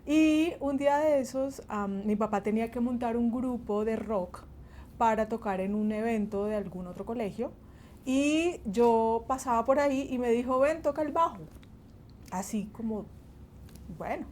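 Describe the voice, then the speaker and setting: A middle-aged Colombian woman, a bassist and music teacher, talking about her life in an interview, laughing often and pausing between phrases. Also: darkish tone, slight background noise.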